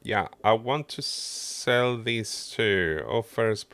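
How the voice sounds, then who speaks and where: A man's voice: fairly low pitch, very slow, a bit bright, no room sound. A man doing casual livestream game commentary, muttering his running thoughts to himself as he plays. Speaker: game streamer.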